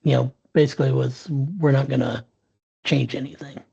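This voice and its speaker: A man's voice: medium pitch, fairly even intonation, normal speed, vocal fry, mellow, husky, lo-fi sound. A man speaking as an interview guest, telling a candid story with frequent restarts and fillers over a video call. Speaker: interview guest, sales professional.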